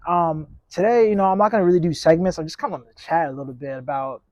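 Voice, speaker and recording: Twenty-something guy chatting on his podcast, mellow voice, kinda high, dull dry clip-on mic sound outside. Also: fast, no echo. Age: 20s. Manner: reflective, rambling, laid-back. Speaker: podcast host. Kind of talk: casual solo podcast chat. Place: outdoors.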